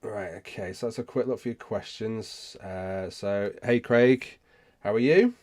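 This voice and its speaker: Male, low pitch, casual livestream host, halting delivery, frequent rephrasing, answering viewers. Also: a little mellow. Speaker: host of a live DJ Q&A session.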